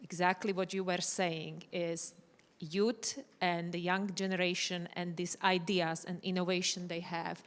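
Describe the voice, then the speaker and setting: A woman from Slovakia, whose first language is Slovak, speaks off the cuff as a company panelist. Her voice is somewhat low. She is enthusiastic and repeats for emphasis, with the reverb of a conference hall around her. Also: level tone, unhurried, fairly bright tone. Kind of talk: unscripted panel talk.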